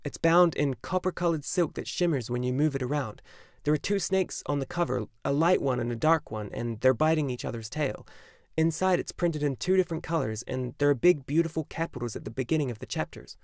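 An earnest young boy, eager and at times halting, as a male narrator puts him on. The voice is raised well above the narrator's own, dry and close. A storybook hero speaking with sincere urgency. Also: on the high side, no room sound, pitched above the performer's own voice, natural voice. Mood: urgent. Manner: eager, halting when unsure. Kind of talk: audiobook character voice.

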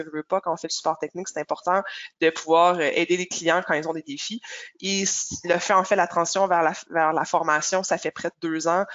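A woman, the webinar host, giving a friendly quick introduction of the trainer. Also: fairly low pitch, a bit bright, lo-fi sound. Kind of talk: introducing a speaker.

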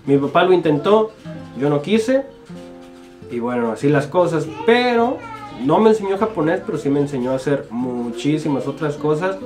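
A man's voice: a little high, lively intonation, moderate pace, over music. A young Mexican man in his twenties, casually chatting and joking as he answers viewer comments in a small home studio. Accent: Mexican Spanish. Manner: jokey, laughs often, informal. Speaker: guest youtuber. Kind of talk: casual chat reading comments.

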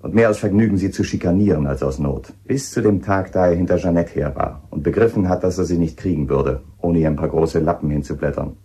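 An actor playing a stern, probing police inspector in a radio crime drama, questioning insistently and accusingly. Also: male voice, sits low, brisk, hardly any pauses, dark tone, husky.

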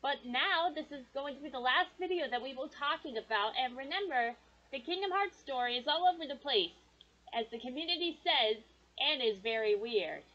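A woman's voice: high-pitched, animated, moderate pace, pushed and bright, highs cut off. A woman hosting a video, reading scripted fan commentary. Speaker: video host.

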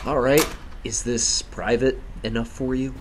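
An actor playing a dry, defiant speakeasy owner in an old-time radio drama. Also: male voice, mid-range voice, fairly even intonation, measured pace, background noise, natural voice. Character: stubborn speakeasy owner, grown man, wry.

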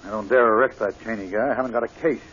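An actor playing a blunt, exasperated American police inspector in an old radio drama, slangy and grumbling. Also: male voice, on the low side, fairly even intonation, rapid-fire, a bit bright, slightly rough. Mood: frustrated. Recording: dull, muffled old radio broadcast.

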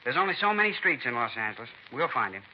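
An actor playing an earnest, defensive young American hot rod club member in a 1950s radio drama, talking slangy and insistent. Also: male voice, medium pitch, big pitch swings, fast, lightly acted. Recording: dull 1950s radio recording, faint noise.

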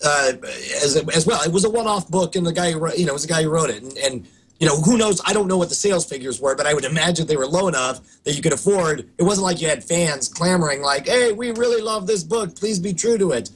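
Hoarse thirtysomething guy on a video call, kinda high voice, rough and breathy, animated storytelling. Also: poor audio. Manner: animated, quick storytelling with restarts. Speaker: co-host. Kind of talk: casual podcast chat. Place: home studio room.

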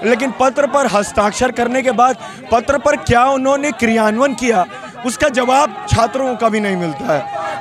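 A young male student activist giving fiery answers into a handheld mic at an outdoor street protest. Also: very high-pitched. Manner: forceful, fiery political speech. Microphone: handheld reporter mic. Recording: outdoor protest with crowd behind. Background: crowd noise.

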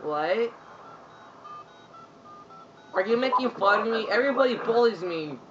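Guy trolling in a squeaky whiny voice. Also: animated, unhurried, highs cut off, heavy background noise. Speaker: gamer trolling in online voice chat. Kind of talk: prank voice chat during online shooter.

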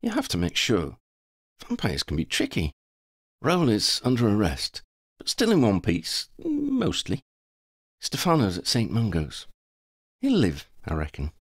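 Audiobook role: cocky, playful young huntress, read by a man, lifted pitch, very animated delivery. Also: unhurried, pauses often, slightly rough, no room sound, studio quality, full character voice. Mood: flippant, boastful. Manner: cocky, flippant, tough-talking. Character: brash, tough, playful young woman werewolf hunter. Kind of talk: audiobook character voice.

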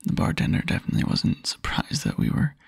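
A man playing a tender, wistful young boyfriend in an intimate ASMR roleplay, murmuring softly and haltingly in a husky near-whisper. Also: no echo, studio quality, lightly acted. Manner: soft, halting, intimate murmur with small chuckles. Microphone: close microphone, dry.

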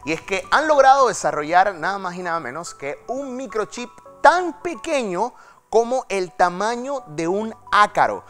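A man's voice: sits high, very animated, normal speed, a bit bright, faint music behind. A man presenting a technology segment in a TV news studio, talking in a chatty, dramatic way with fillers. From Panama. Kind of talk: studio tech segment, conversational.

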